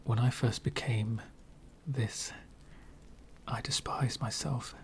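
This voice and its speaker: A man playing an elegant, centuries-old vampire gentleman, speaking softly and half whispering in a refined, wistful ASMR roleplay. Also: mid-range voice, fairly even intonation. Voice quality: soft, breathy whispering. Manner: refined, wistful, slow and deliberate.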